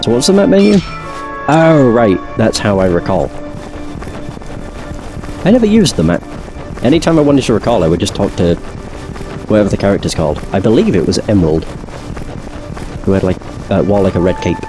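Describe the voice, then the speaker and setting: Male game streamer, casual and loose, voice lower than his usual from a recent illness, over audible game music. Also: brisk, long pauses. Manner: chatty, relaxed, occasional swearing. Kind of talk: casual gaming commentary. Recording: game music playing behind.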